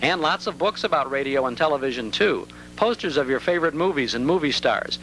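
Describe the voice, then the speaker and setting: A man reading scripted advertising copy as a commercial announcer in a polished, measured way. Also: on the high side, lively intonation, fairly bright tone, slight background noise, rough recording.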